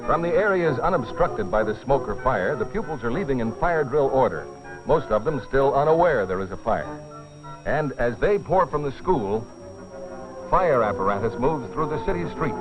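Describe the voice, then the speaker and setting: Animated male dramatic film narrator, dull old soundtrack. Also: medium pitch, normal speed, over loud music. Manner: grave, urgent, theatrical storytelling. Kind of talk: dramatic scripted narration of a safety training film.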